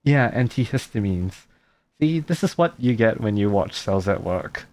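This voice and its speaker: A woman's voice: rumbling low, lively intonation, moderate pace, a little mellow. A woman giving casual playthrough commentary as a game streamer, musing aloud and reading dialogue in an offhand way. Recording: game music behind.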